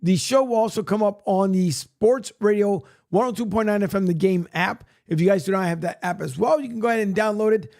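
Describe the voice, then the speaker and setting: A man hosting a sports radio show, rambling casually with many fillers, his voice raspy from a long day. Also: sits high, brisk, hardly any pauses, darkish tone. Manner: rambling, many fillers, plugs and reads news aloud. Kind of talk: casual solo sports talk, filling time.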